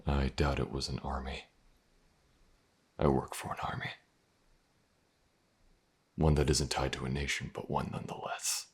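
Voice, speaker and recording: Man playing a gruff protective mercenary, bottomless low voice, husky, dry halting delivery, fantasy audio drama. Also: flat delivery, full character voice. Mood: guarded, dry. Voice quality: very deep gravelly husky. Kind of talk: fantasy audio roleplay acting.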